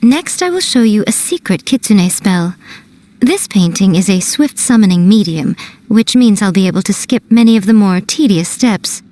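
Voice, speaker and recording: Woman doing a sly fox priestess, smooth, teasing, mid-pitched. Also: expressive, normal speed, dark tone, lightly acted.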